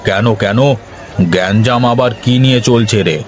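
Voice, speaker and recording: A man's voice: lowish voice, normal speed, a crowd in the background, natural voice. A man voicing a crafty, self-assured landlord in a cartoon story, talking down to his servant in a scheming way. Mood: commanding. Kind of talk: Bengali cartoon moral-story dialogue.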